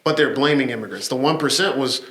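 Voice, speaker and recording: A man co-hosting a casual chat, with a mid-pitched voice. He talks in a measured, explanatory way and builds his point step by step. The room gives his voice a noticeable echo. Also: a bit bright. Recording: echoey room.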